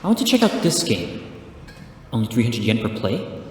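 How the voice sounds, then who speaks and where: Young male lead, acted by a man: earnest, self-coaching inner monologue for audio drama, animated intonation, very echoey sound. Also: medium pitch, normal speed, a little hiss or hum, close to an everyday voice. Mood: eager. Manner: expressive, talking himself through things. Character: earnest young male protagonist playing a batting game. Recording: roomy long reverb under music.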